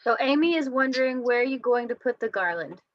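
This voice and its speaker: A woman's voice: a little high, animated, fast, highs cut off. Upbeat woman relaying viewer questions. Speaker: class co-host reading viewer questions.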